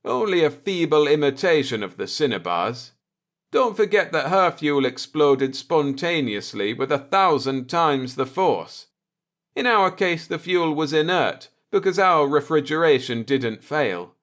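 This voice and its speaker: A man voicing a coolly vindictive spaceship navigator in an audiobook, deliberate and sardonic as he explains. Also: a little high, lively intonation, fairly bright tone. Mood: matter-of-fact.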